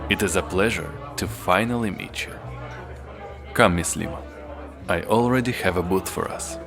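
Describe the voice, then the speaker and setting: A man playing a suave, formal young Russian doctor in a romance audio drama, with a smooth, rumbling, Russian-accented voice. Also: moderate pace. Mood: formal, courteous. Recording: prominent music under the voice.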